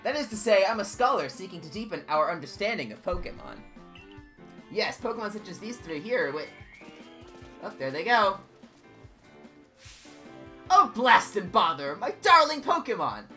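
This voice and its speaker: A man voicing a fussy, excitable male scholar who speaks in polite, old-fashioned phrases and swings high with alarm. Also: normal speed, pauses often, soft background music, heavily acted. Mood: flustered.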